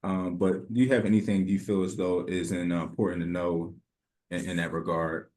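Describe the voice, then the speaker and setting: A man hosting an interview, polite and halting, with frequent fillers. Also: low-pitched, level tone, darkish tone. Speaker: interviewer, host.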